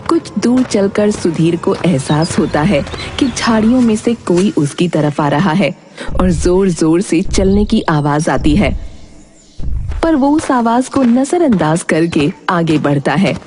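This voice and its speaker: Animated, low-pitched woman storyteller. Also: unhurried, slight background noise. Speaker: storyteller, narrator. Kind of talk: scripted animated story narration. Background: music.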